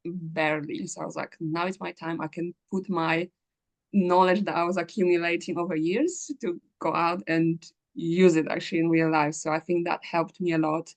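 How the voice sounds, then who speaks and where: A woman's voice: lowish voice, flat delivery, normal speed. A woman from Poland, hosting a casual chat and laughing often as she tells stories. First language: Polish. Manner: chatty, laughs often, acts out quoted speech. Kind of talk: casual conversation.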